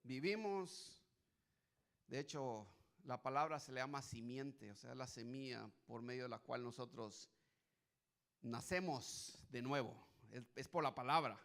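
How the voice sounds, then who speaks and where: A middle-aged pastor from Guatemala preaching as a guest, hesitant with restarts at first, then building to emphatic preaching. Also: male voice, medium pitch, unhurried, creaky, fairly bright tone. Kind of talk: sermon.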